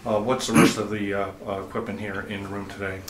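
A man interviewing casually on camera in a hotel room at an audio show, with somewhat halting phrasing. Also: fairly low pitch, little pitch movement. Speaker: video reviewer and interviewer. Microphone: camera microphone, held by the speaker. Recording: echoey room, faint background room noise.

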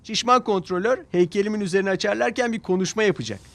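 A man voicing an excited, boastful young male tank engine in a children's cartoon. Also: high-pitched, fast, gravelly, pitched above the performer's own voice. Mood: eager, proud. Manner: eager, boastful. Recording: music behind the voice.

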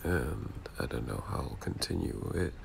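A man's voice: very deep voice, expressive, moderate pace, vocal fry, slight background noise. A man with a nasal, congested voice from being sick, rambling casually and offhandedly as a channel creator. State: sick with a cold.

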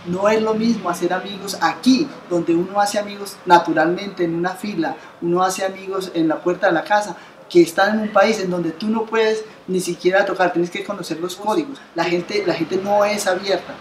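A woman's voice: on the low side, fairly even intonation, normal speed, a little hiss or hum. A woman in her fifties from Colombia, telling her story as an interview guest in earnest conversational talk with many restarts. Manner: earnest, many restarts and repeated words, gestures while speaking. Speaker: interview guest, association founder. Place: bright living room by a window, indoors. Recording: roomy living room sound.

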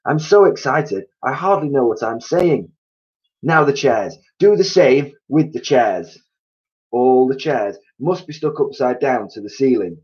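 A man acts out an excitable monkey dad in charge of a storybook gang. He is urgent and bossy, swinging widely in pitch as he hollers orders. The recording is a little dull. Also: a little slow, close to an everyday voice. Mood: excited. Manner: shouting urgent orders, impatient, snapping. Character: bossy, frenzied, excitable male monkey father leader. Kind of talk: children's storybook reading.

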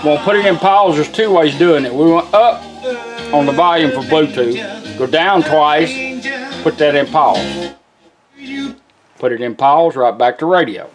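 A man's voice: fairly high pitch, measured pace, long pauses. A man giving a casual, plain-spoken, folksy hands-on product demonstration in short step-by-step instructions. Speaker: presenter demonstrating a custom car radio. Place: indoors at a workbench. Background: guitar music from the radio being demonstrated.

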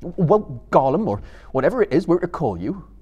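A man playing a gruff, suspicious servant in a dramatic book reading, blunt, loud and impatient. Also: a little high, sing-song, normal speed, muffled recording, voice pushed up. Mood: grudging.

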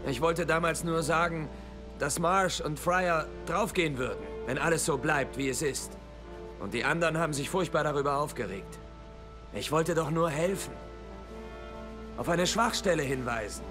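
A man's voice: on the high side, normal speed, music in the background, lightly acted. A man playing a remorseful, troubled young soldier in a dubbed war game, earnest and subdued. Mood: guilty, regretful.

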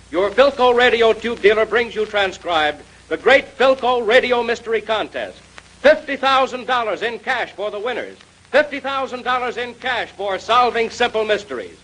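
A man's voice: sits high, a bit bright, breathy edge, rough recording. A man with an American accent announcing and narrating in 1930s radio style.

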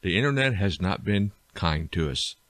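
A man hosting a casual podcast over a rough internet-call connection. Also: low-pitched, lively intonation, normal speed, fairly bright tone, dry recording. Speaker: podcast host. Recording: poor internet-call audio, faint music.

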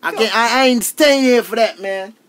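A man's voice: extremely high pitch, normal speed, fairly bright tone. A fun-loving visiting cousin acted by a man in a radio family drama. He talks in loose, repetitive slang, forever protesting that he is being put upon. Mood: aggrieved. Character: laid-back, fun-seeking male cousin visiting from New York.

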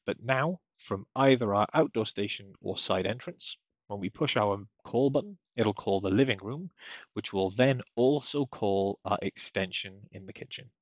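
A man narrating a step-by-step software tutorial in a methodical, explanatory way. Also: lowish voice, moderate pace, no room sound, lo-fi sound. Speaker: technical support tutorial presenter.

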